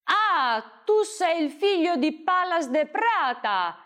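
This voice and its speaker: A woman voicing a greedy, domineering male bandit in a storybook reading, speaking with a raised, forceful voice. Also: very high-pitched, big pitch swings, slow, pitched above the performer's own voice, heavily acted. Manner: domineering, commanding. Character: gruff menacing male bandit, bossy and greedy.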